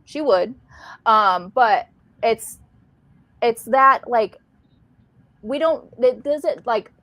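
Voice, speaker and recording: A woman's voice: fairly high pitch, no room sound. A woman, co-hosting a casual live discussion about books. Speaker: co-host of a book discussion livestream.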